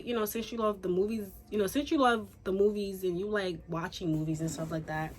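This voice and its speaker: A young woman from Haiti chatting casually through a storytime, animated and full of fillers. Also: medium pitch, a little hiss or hum. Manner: chatty, animated, says "like" and "y'all" a lot. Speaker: YouTuber.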